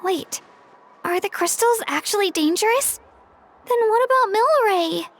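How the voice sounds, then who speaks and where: A woman playing a gentle, earnest and slightly hesitant young girl in a video game. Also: very high voice, animated, a little slow, exaggerated voice. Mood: anxious, eager. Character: young girl, earnest, unsure of herself, polite.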